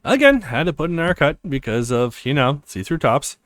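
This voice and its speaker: Man chatting casually, very lively pitch. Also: moderate pace, no room sound, studio quality. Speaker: let's play host. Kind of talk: casual game playthrough commentary.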